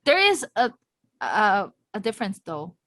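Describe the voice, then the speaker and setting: A young Filipino woman co-hosting a podcast in a relaxed chat, frank and quick to laugh. She often restarts mid-sentence as she finds her words. Her voice sits at a middling pitch, close and dry on the mic. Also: dry recording. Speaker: podcast co-host. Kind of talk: casual chat.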